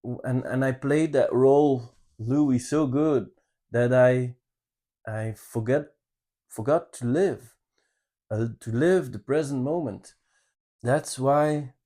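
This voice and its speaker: A man in his thirties from Belgium with a Flemish accent, telling his life story in an earnest, halting way as co-host of a channel. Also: mid-range voice, dark tone. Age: 30s. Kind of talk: personal life story, casual talk.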